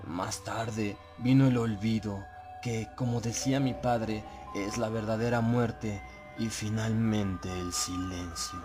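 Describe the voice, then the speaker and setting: A man narrating a horror audiobook in a solemn, measured, suspenseful way. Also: lowish voice, fairly even intonation. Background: prominent background music.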